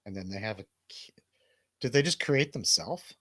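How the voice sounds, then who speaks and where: A man's voice: lowish voice, expressive, moderate pace, a bit bright, dry recording. An American man co-hosting a comedy radio show as a DJ, chatting casually in rambling, profane, joking banter.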